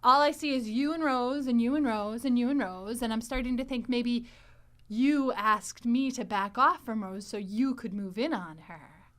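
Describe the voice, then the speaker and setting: A woman with a fairly high voice hosts a casual group chat. She is animated and talkative, readily echoing agreement as she goes. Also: normal speed. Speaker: podcast host.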